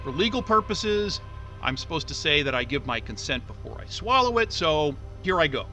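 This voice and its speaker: A breezy guy leaving himself a video message in an audio drama. He rambles in a casual, flippant way, with a high, bright voice. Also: very animated, moderate pace, soft background music, exaggerated voice. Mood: casual, rambling. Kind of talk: naturalistic audio drama acting.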